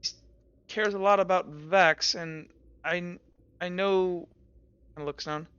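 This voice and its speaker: Stammering young orphan, bright and hesitant as a player voices them. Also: mid-range voice, steady tone, smooth, no echo, dull audio. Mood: defensive, halting. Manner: stammering, halting. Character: hesitant, stammering, loyal teenage street orphan.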